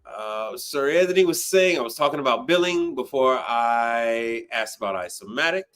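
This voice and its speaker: A man's voice: mid-range voice, lively intonation, moderate pace, fairly bright tone. A man in his fifties hosting an unscripted livestream, talking bluntly and emphatically, repeating phrases and swearing freely. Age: 50s. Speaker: livestream host, commercial appliance service technician. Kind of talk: unscripted livestream talk answering viewer questions.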